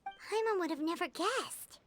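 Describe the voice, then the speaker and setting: A woman voicing a tiny, childlike, excitable female fairy companion in a video game, with a squeaky voice. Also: a little slow, heavily acted. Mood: surprised. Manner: refers to herself in third person, exclaiming.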